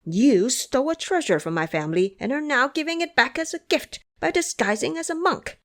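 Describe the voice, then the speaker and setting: A woman's voice: high-pitched, lively intonation, fairly quick, a bit bright, voice pushed up. A woman voicing a proud, suspicious young crown prince in an audio drama, with a commanding manner. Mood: scornful. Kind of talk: audio drama character acting.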